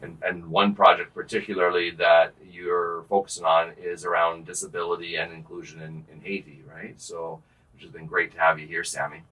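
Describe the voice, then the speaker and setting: Low-voiced man hosting a relaxed chat. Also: normal speed, poor audio. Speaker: professor hosting the podcast. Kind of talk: casual podcast chat.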